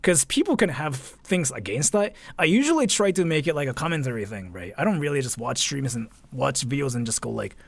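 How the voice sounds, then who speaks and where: A man live streaming casual reaction commentary, talking in a halting, repetitive, informal way with some mild swearing. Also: mid-range voice, expressive, vocal fry.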